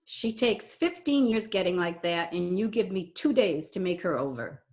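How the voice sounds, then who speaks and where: A woman's voice: fairly low pitch, expressive, normal speed, darkish tone, natural voice. A woman playing a brassy, wisecracking Broadway dame with sardonic slang, in old-time radio drama style. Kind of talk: 1940s radio drama acting.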